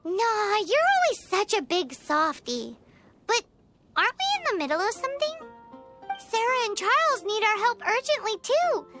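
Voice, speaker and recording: A woman's voice: extremely high pitch, big pitch swings, moderate pace. A woman voicing a tiny, cheeky female fairy companion who talks about herself in the third person, in video game dialogue. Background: game music.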